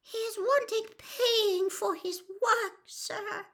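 A woman voicing a timid, devoted little female house elf servant in an audiobook, with a squeaky, quivering voice and servile, anxious manner. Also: steady tone, slow, a bit bright, heavily acted. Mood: sad disapproval. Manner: servile, scolding, ungrammatical deference.

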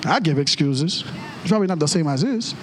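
A man with a Haitian accent, a native Creole and French speaker, preaching a storytelling sermon in an animated way and acting out dialogue with exclamations. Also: a little high, a little fast, slight background noise.

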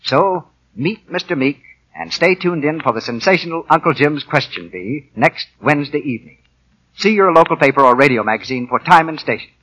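Bright, husky male 1940s radio announcer. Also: fairly high pitch, animated, fairly quick, dull audio. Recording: vintage 1940 radio broadcast.

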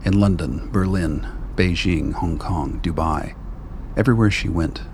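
A man narrating a radio play in a measured, grave way. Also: deep voice, creaky, slight background noise.

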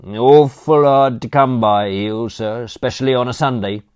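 A man's voice: mid-range voice, normal speed, darkish tone, clean tone, pitched above the performer's own voice, lightly acted. A man voicing a brash, cheerful male butler with a cheeky, slangy way of talking. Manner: breezy, cheeky, working-class slang.

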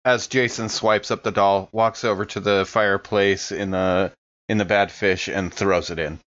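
Lowish-voiced man, slightly muffled, narrating casually. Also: steady tone, moderate pace, a bit bright. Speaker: tabletop role-playing game player. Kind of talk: casual improvised narration of his character's actions.

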